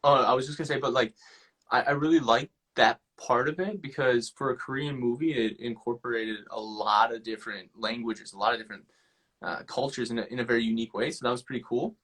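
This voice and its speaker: A young adult man hosting a casual live chat, relaxed and affirming as he talks. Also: mid-range voice, fast talker, husky, low-quality recording. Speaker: host.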